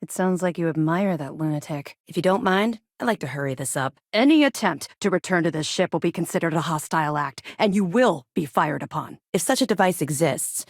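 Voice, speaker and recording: Seasoned female military officer for sci-fi game: authoritative, clipped, lower register, close dry recording. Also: lively intonation, a little fast, few pauses, dry recording. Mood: measured, serious. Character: stern, seasoned female space-navy officer, reformed ex-pirate. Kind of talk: video game voice acting.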